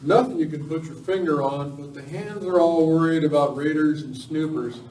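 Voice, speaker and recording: An amateur actor playing a weary, uneasy cattle ranch owner in a live radio western. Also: male voice, a little high, moderate pace, darkish tone, lightly acted. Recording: roomy, distant, faint noise.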